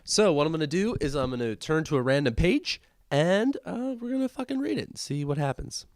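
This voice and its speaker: A man's voice: fairly high pitch, lively intonation, brisk, no room sound, studio quality. A man hosting a comedic show, reading aloud in an amateur, casual and irreverent way. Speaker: host of a comedic reading podcast.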